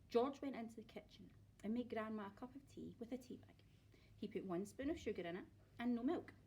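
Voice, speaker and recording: A woman's voice: fairly high pitch, a little mellow, a little hiss or hum. A woman narrating a children's story aloud expressively, like a teacher reading to pupils.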